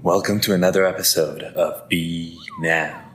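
A man's voice: low-pitched, steady tone, measured pace, fairly bright tone, slight background noise. A man opening a show with a playful intro, chanting and dropping into whispers. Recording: echoey.

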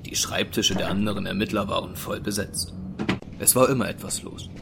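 An actor narrating a crime audio drama as a sober storyteller. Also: male voice, fairly low pitch, fairly even intonation, fairly quick, vocal fry, background noise.

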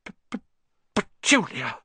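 A man's voice: mid-range voice, wildly swooping pitch, unhurried, fairly bright tone, slight background noise, pitched above the performer's own voice, full character voice. A man voicing a blustering, bullying middle-aged uncle in a storybook, with a sneering, barking delivery. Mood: agitated muttering.

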